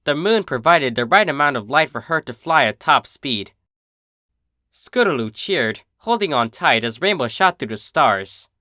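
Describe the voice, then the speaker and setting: Guy narrating a story, really lively pitch, close dry recording. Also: a little slow, no room sound. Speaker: fan fiction reader, channel host.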